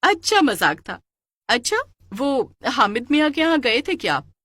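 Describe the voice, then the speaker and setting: A woman voicing a loving, teasing village wife in a cartoon story, expressive and playful. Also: high-pitched, unhurried, no room sound, full character voice.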